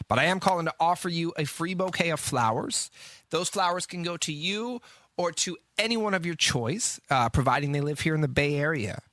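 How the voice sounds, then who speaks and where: A man's voice: on the high side, a bit bright, no echo. An American man hosting a morning radio show, moving between banter, narration and phone patter. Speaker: radio host.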